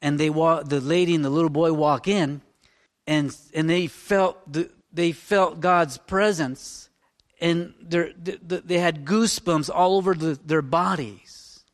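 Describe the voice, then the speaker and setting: A man's voice: on the high side, fairly even intonation, measured pace. Fervent minister in his fifties. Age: 50s. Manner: fervent, rambling, swings from loud to hushed. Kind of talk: informal sermon.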